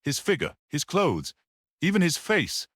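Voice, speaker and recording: An earnest priest-detective played by a male narrator in a mystery audiobook. He explains with rhetorical fervour and a very lively, swinging pitch. The sound is close and dry. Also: unhurried, no room sound, exaggerated voice. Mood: reflective, assured. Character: earnest priest-detective, gentle yet explosively passionate. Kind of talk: dramatic audiobook character reading.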